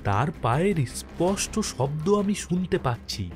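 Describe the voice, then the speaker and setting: A man's voice: on the high side, expressive, normal speed, over loud music, natural voice. An actor playing a jittery postmaster who narrates his own haunting in a suspenseful, theatrical horror cartoon style. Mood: fearful.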